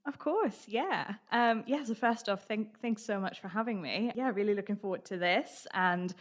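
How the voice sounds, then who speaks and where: A woman's voice: medium pitch, moderate pace. British-accented woman chatting in an interview. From Austria. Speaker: podcast guest, marketing professional. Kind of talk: casual interview chat.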